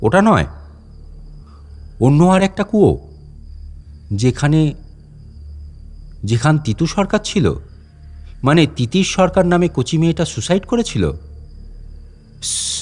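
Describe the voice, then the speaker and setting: A man's voice: fairly high pitch, a little mellow. A man playing a wary, talkative fort guide in a dramatic storytelling monologue, chatting to unseen visitors and putting questions to them. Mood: questioning, defensive, then guarded, secretive. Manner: conversational, addressing unseen listeners with questions. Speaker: audio story narrator. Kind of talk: dramatic first-person monologue narration.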